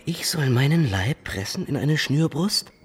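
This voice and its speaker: A man's voice: a little high, expressive, unhurried, slight background noise. An actor playing a fiery, proud young nobleman and rebellious student in a radio play, speaking with impassioned declamation. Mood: defiant. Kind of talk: German radio play acting.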